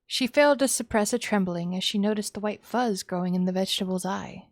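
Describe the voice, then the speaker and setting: A woman reading a visual novel aloud as dramatic storytelling, in a hushed tone. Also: medium pitch, fairly quick, no room sound, clean studio sound. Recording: music behind the voice. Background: background music.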